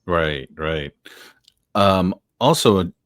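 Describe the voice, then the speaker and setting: Low-voiced man asking winding questions. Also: expressive, takes it slowly, clean tone, no echo, studio quality. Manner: long winding thoughtful questions. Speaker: interviewer. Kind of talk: casual interview.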